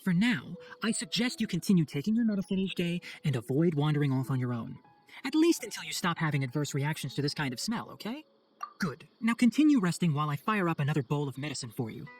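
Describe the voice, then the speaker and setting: A man's voice: fairly high pitch, big pitch swings, quick pace. An actor playing a clever, exacting young male chief forest ranger in a video game, articulate and instructive with dry sarcasm. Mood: cautioning. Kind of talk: video game cutscene acting. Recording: faint music behind the voice.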